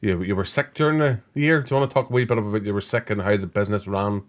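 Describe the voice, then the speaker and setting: A man hosting a podcast, asking quick follow-up questions. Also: lowish voice. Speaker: podcast host. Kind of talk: interview questions.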